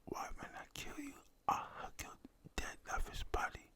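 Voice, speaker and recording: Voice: moderate pace, bright, forceful tone, close to an everyday voice. A storyteller whispering the lines of a vengeful man keeping a grim promise. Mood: cold.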